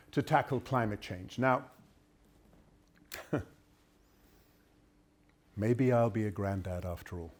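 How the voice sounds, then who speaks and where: An actor playing a troubled, dutiful middle-aged public prosecutor and father, in an intense, deliberate theatrical monologue. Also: medium pitch. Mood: wry, confiding. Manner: intense, deliberate, with dramatic swells and drops.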